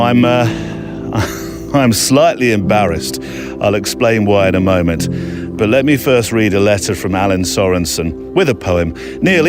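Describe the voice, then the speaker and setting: A man hosting a podcast in a reflective, chatty way, breaking into his own sentences as he talks or reads aloud. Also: lowish voice. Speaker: podcast host. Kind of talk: conversational hosting and reading listener letters aloud.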